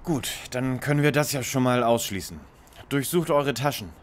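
A man's voice: mid-range voice, normal speed, slight background noise, lightly acted. A man playing a bossy, analytical young lead detective in a parody audio drama. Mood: groggy, matter-of-fact.

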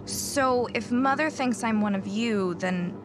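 A woman's voice: fairly high pitch, slow pace, noisy background. An actress playing a frightened, defiant woman survivor in a horror audio drama. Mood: wary, confrontational.

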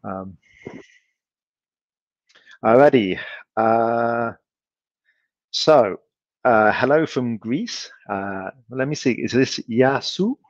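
A middle-aged man giving a casual conference Q&A from a home room over a video call, relaxed and hesitant, with many ums and restarts. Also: medium pitch, very slow, pauses often, no echo, dull audio. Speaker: company speaker answering audience questions.

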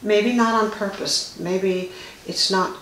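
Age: older. Role: interview host. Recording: echoey gallery room. Halting older woman host. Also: mid-range voice, a bit bright, slight background noise. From Ohio. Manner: thoughtful, halting, many fillers. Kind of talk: casual interview chat. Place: art gallery, indoors. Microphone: far camera.

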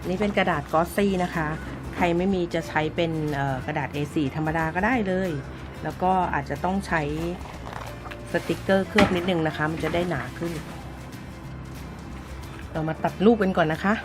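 The low-pitched woman you'd hear on a hobby craft channel, walking you through each step while music plays along. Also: moderate pace. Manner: informal big-sister address to viewers. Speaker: craft tutorial host. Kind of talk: casual step-by-step tutorial narration. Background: background music.

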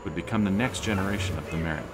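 A man's voice: low voice, very fast, lightly acted. A man playing a grandfather who narrates a space saga to his grandchild in an audio drama. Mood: storytelling. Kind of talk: storytelling narration. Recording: clear background noise.

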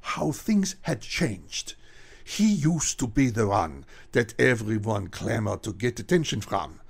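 A man narrating a radio horror drama in an ominous storytelling manner, with a gravelly, husky voice. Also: lowish voice, big pitch swings, slow pace.

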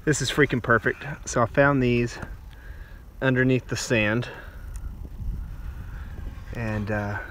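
A man's voice: medium pitch, steady tone, slow, pauses often, fairly bright tone, a little hiss or hum. A man in his forties with a Texan accent, casually explaining survival skills as he works outdoors on a beach. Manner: explains his steps plainly while handling things. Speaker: survival vlogger. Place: open sandy beach and dunes outdoors.

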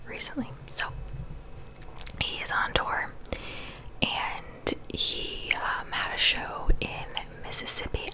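A young woman in her twenties whispering casual gossip chat for an ASMR video, close to a studio microphone in a small bedroom. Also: low-quality recording. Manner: chatty, conversational whisper. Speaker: ASMR creator. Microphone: large studio mic close to the mouth.

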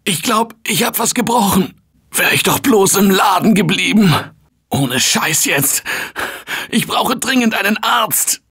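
A man voicing an earnest, panicky office guy surviving a zombie apocalypse in a video game, husky and emphatic in colloquial short barks. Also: high voice, measured pace, bright, forceful tone, heavily acted. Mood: pained, despairing.

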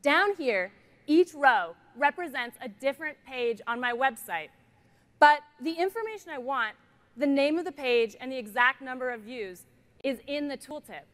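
A woman's voice: high voice, lively intonation, normal speed, a bit bright. A middle-aged woman, a product designer, giving an upbeat, playful keynote demo on a large conference stage with a headset mic. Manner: upbeat, playful presenting with jokes.

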